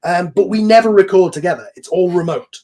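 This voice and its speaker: A man with a fairly high voice hosts a relaxed podcast conversation on an online call. He talks in a loose, rambling way, like a creator chatting with colleagues. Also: a little fast, crowd noise. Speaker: host and series creator. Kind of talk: casual podcast chat. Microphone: online group voice call.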